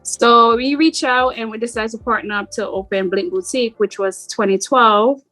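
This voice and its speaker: A middle-aged woman with a slight Jamaican accent, talking about herself in a relaxed, rambling way as an interview guest. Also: fairly high pitch, normal speed, soft background music. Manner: relaxed, rambling, frequent "like" fillers. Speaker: boutique owner guest. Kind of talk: casual interview chat.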